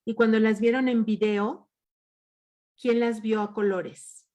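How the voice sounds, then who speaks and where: A woman's voice: fairly high pitch, steady tone, takes it slowly, warm tone, muffled recording. A woman leading an online workshop, patiently asking her group questions over a video call. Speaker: workshop leader.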